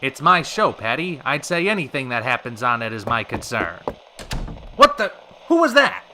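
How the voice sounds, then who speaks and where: A man playing a short-tempered, wisecracking talk show host in an old-time radio drama, fast-talking in theatrical period slang. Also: medium pitch, big pitch swings, background noise, close to an everyday voice. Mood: angry, sarcastic.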